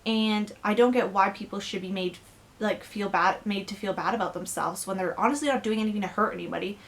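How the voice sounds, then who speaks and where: A woman's voice: medium pitch, fairly bright tone. Earnest young woman ranting to camera. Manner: candid, earnest, with many fillers. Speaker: vlogger. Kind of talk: personal rant to camera. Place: her bedroom.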